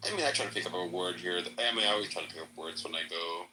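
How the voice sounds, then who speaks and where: A man in a casual chat, talking in a rambling, animated way. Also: low voice, rapid-fire, noisy background. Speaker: podcast participant.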